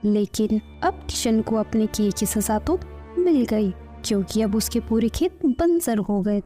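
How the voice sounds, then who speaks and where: A woman's voice: on the high side, lively intonation, measured pace, dark tone. A woman storyteller reading scripted narration for a children's story. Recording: music under the voice.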